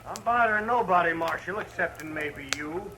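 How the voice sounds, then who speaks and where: Sneering gunslinger, rural American accent, sharp voice, defiant, faintly noisy vintage radio. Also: male voice, high-pitched, normal speed. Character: defiant, belligerent, sneering western troublemaker with gun. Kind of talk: 1950s radio western acting.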